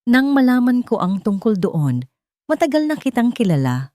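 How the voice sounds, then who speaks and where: A woman's voice: fairly high pitch, sing-song, moderate pace, no room sound, clean studio sound, voice pushed up. A woman voicing an earnest, protective young tycoon. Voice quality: soft. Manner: earnest confession.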